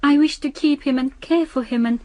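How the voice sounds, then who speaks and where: An actress playing a devoted, earnest young Japanese island woman in a radio drama, gently pleading. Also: sits high, brisk, no echo, lightly acted.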